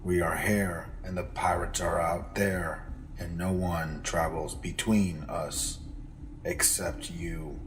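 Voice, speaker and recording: Guy doing a deep slow alien boss, stone-faced, barely any tune in it, faint hiss behind. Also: flat delivery. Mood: cold. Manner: slow, heavy, clipped and impassive. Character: grim stone-faced male alien prisoner leader. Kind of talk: audiobook character voice.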